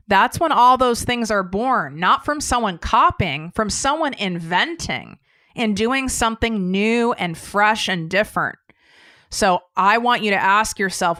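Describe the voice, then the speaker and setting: A woman's voice: mid-range voice, a bit bright, smooth, no echo, clean studio sound. An American woman hosting a podcast, speaking in a blunt, emphatic coaching style and casually swearing as she talks straight to her listeners. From United States. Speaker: podcast host. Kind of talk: casual solo coaching talk.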